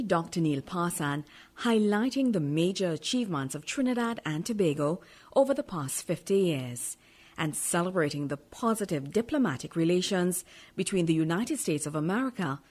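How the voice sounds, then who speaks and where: A woman with a low, husky voice reads a news report. Her delivery is measured and formal, like a broadcast voice-over. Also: animated, a little mellow. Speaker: news narrator. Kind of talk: scripted news narration.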